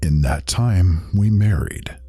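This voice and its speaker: A man performs a proud fantasy mage with an extremely low voice. He tells of his life gravely and earnestly, in old-fashioned storyteller phrasing, with faint music underneath. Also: slow, mellow, no room sound, full character voice. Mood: earnest, reflective. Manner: solemn, archaic storyteller phrasing. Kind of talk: dramatic fantasy story narration.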